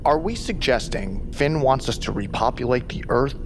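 A man's voice: medium pitch, lively intonation, moderate pace, natural voice. A man playing a wry, plain-spoken survivor in an audio drama, casual and sardonic. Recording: clear music bed underneath.

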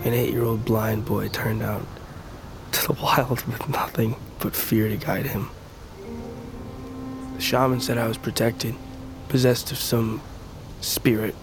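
An actor playing a gravely wounded, fatalistic blind tribesman in an audio drama, speaking weakly and haltingly as he reflects. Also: on the low side, level tone. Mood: bitter reminiscence. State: wounded, weak, halting breath. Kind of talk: audio fiction drama acting. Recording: music bed under the dialogue.

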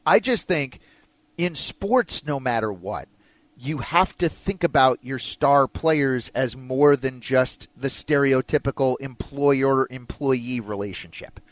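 A man's voice: medium pitch, slow pace, dry recording. An American man hosting an opinionated sports talk radio show, chatting with a co-host in a studio. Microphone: close studio mic.

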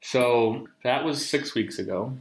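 A man's voice: medium pitch, slow pace. A thirty-year-old man chatting casually and jokingly at home as he hosts a recorded conversation with his partner. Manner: joking, teasing. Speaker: podcast host interviewing his partner. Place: kitchen, at the table during a meal. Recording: roomy echoing home recording.

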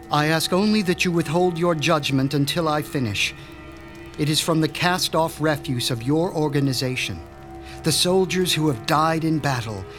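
A man playing an obsessive mob doctor and mad scientist, speaking in a measured, theatrical way. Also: on the high side, fairly bright tone. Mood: confessional. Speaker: doctor character. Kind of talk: audio drama acting.

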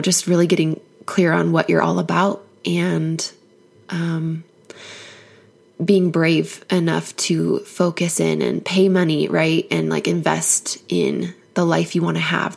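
A woman hoarse from a cold, giving an earnest, encouraging solo podcast talk as a life coach. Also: low voice, a little mellow.

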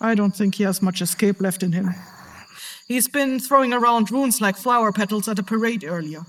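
A woman's voice: medium pitch, a little fast, a bit bright, close to an everyday voice. An actress playing a weary, wary woman in charge in a fantasy audio drama, sighing and dry. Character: weary, wary female rune worker in charge.

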